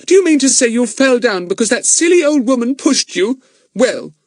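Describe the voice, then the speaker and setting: A man's voice: very high voice, big pitch swings, moderate pace, no room sound, clean studio sound, pitched above the performer's own voice. A man voicing a haughty, bossy young girl who sulks and complains. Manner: sulky, complaining.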